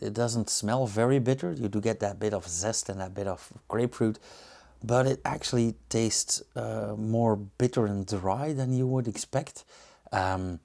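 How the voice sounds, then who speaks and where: Male reviewer, Belgian accent, lowish pitch, informal and unscripted, hesitant and reflective delivery. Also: creaky, a little mellow. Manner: hesitant, musing, searching for words. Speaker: beer reviewer and host. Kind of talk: casual unscripted tasting commentary.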